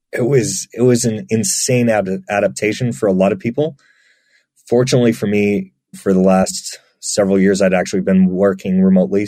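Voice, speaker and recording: A man giving relaxed, chatty answers as an interview guest, often restarting his sentences. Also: fairly low pitch, no room sound. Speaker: guest, marketplace team member.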